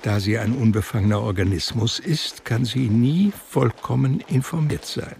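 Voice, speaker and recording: A man reading literary narration in a German radio drama, measured and reflective. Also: medium pitch, gravelly, darkish tone, slight background noise. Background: music.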